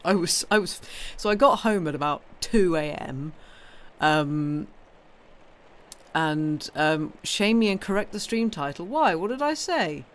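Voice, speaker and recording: A woman's voice: fairly low pitch, lively intonation, unhurried. A woman with a British accent hosting a livestream in casual, playful chat, often breaking off her own sentences and rambling on. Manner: rambling, playful, self-interrupting. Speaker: livestream host and reader.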